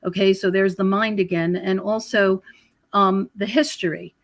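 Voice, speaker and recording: A middle-aged or older woman speaking as a podcast guest, explaining spiritual ideas conversationally in a rambling way with pauses and repeated phrases. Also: medium pitch, steady tone, no room sound. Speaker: podcast guest, researcher and author. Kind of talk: conversational spiritual commentary in an interview.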